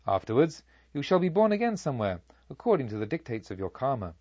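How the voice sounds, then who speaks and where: A man voicing a beast-headed guard of hell in a storybook, formal, slow and merciless as he pronounces sentence. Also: medium pitch, very animated, darkish tone, no room sound, lo-fi sound. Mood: stern, merciless. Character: fish-headed and dog-headed infernal watchmen, formal and merciless.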